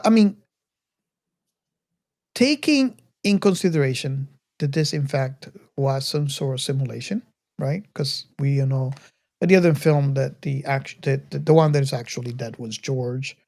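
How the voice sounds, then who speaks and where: A podcast host speaking casually in a halting way, often restarting sentences, while leading a film discussion. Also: medium pitch, a little mellow, no room sound, clean studio sound.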